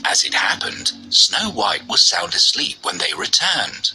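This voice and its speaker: A man narrating a scripted children's story with a raspy, creaky voice. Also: on the high side, big pitch swings, unhurried, sharp tone, soft background music, poor audio.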